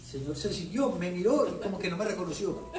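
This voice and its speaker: A man's voice: sits high, lively intonation, fast, a little mellow, a little hiss or hum. A man with a Colombian paisa accent, an author speaking playfully to an audience at a book launch, repeating phrases for effect as he tells stories. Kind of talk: animated talk to an audience. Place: bookstore event room. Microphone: handheld microphone. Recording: echoey room from far off.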